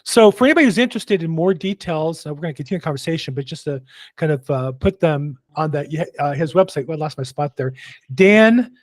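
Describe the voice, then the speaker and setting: A man's voice: a little high, lively intonation, quick pace, dry recording. A man hosting a casual interview, chatty and joking, with lots of fillers. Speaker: host.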